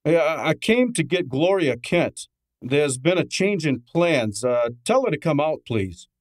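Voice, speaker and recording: An actor playing a brash, wisecracking detective's assistant in an old-time radio drama, exasperated and sardonic. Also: male voice, fairly high pitch, sing-song, a little slow, dry recording, clean studio sound. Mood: businesslike, pressing. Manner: exasperated, sardonic, hard-boiled.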